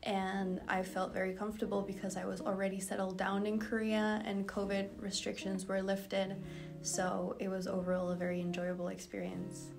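A woman's voice: medium pitch, monotone, normal speed, creaky. A young woman from Argentina, a university student talking upbeat through her year to camera. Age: young adult. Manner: upbeat, talks through her months in turn. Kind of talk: interview to camera. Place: indoor university building lobby. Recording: music playing under the voice.

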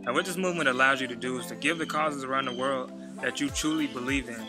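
A man's voice: mid-range voice, fast. A man in his twenties from Texas, giving an earnest, motivational scripted promo monologue. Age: 20s. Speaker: participant in a promo video. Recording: music bed behind the voice. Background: music.

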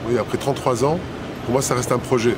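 A man's voice: mid-range voice, steady tone, quick pace. A man in his fifties, a poet and publisher, answering interview questions thoughtfully with many hesitations and restarts. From Italy and Belgium. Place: city square outdoors, traffic nearby. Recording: outdoor street noise.

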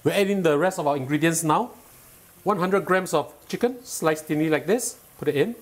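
Calm man teaching a home recipe. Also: a little high, normal speed. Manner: calm, patient and instructive, enthusiastic when tasting. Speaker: cooking show host. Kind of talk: step-by-step cooking instruction. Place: home kitchen, indoors.

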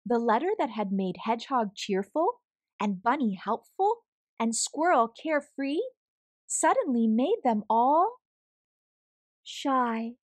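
A woman reading a picture book aloud to children in an expressive, deliberate, theatrical style. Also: a little high, dry recording. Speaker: children's story reader.

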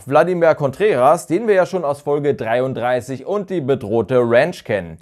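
A man in his thirties presents a review, partly scripted. His voice sits a little high and moves with animated intonation, picked up by a clip-on mic in a small room. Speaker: YouTube reviewer of audio dramas. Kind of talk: semi-scripted review narration. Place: small furnished living room or den indoors.

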